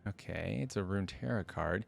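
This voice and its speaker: A man reading lore aloud for a reaction video, dropping in casual, curious asides as he thinks out loud. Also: deep voice, fairly quick, gravelly, soft background music.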